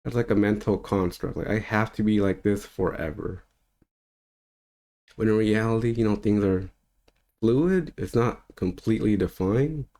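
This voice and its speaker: Man, 30s, very low voice, casual spiritual-teacher monologue, meandering and relaxed. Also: measured pace. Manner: loose and rambling, with easy laughs.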